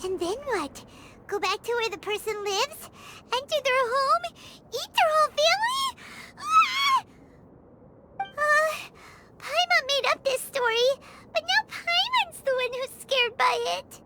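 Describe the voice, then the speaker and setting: A woman voicing a small, excitable and easily spooked fairy girl in a video game, with a squeaky childlike voice and theatrical delivery. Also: a little slow, heavy background noise. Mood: frightened. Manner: theatrical, refers to self in third person. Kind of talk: animated video game dialogue acting. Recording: game music behind the voice.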